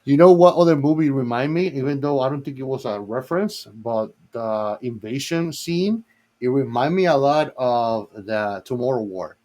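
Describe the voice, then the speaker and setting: A man with a medium-pitched voice co-hosts a film podcast. He talks in a loose, informal chat style, full of slang and casual swearing. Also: lively intonation, normal speed, a little mellow. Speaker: podcast co-host.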